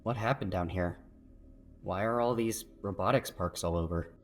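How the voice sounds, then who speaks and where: A man's voice: low voice, normal speed, a little hiss or hum, lightly acted. A man playing a puzzled, practical teenage boy exploring eerie ruins in an audio horror drama.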